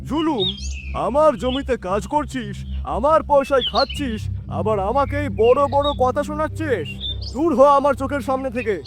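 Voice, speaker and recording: A man playing a cruel, quick-tempered village landlord who scolds and orders people about in a cartoon horror story. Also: very high voice, expressive. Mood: angry, contemptuous. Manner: scolding, domineering, commanding. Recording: music behind.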